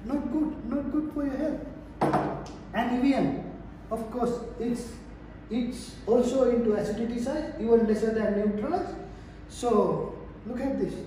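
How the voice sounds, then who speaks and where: A man's voice: very high voice, a little slow. A middle-aged man with a Sri Lankan accent giving an emphatic sales demonstration, repeating his phrases as he presents. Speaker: product presenter and distributor. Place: indoor presentation room. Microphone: distant camera microphone. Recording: very echoey room, distant mic, faint noise.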